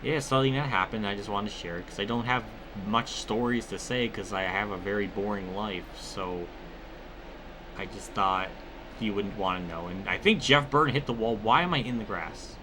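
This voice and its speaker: Low-pitched man rambling over noisy game commentary. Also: normal speed. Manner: rambling, conversational, swears freely. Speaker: gaming video creator. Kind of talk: casual gameplay commentary with rambling personal storytelling.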